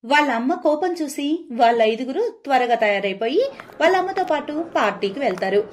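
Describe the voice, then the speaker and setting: A woman's voice: high voice, animated, moderate pace, slight background noise. A woman reading scripted storybook narration for a cartoon tale.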